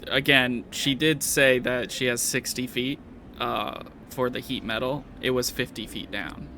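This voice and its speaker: A man with a bright, gravelly voice acts as game master for a tabletop game. He narrates casually and haltingly, over clear background noise. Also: mid-range voice, fairly even intonation. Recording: noisy, unclean home recording.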